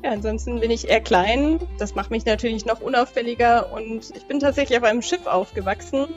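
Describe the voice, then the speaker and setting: A woman's voice: a little high, brisk, dull audio. A young adult woman talking casually as a guest player in a role-playing game. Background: music.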